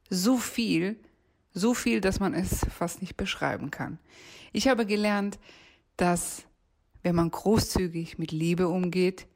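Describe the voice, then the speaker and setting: Slightly low-voiced woman in her forties. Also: a little mellow. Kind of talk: personal monologue about feelings.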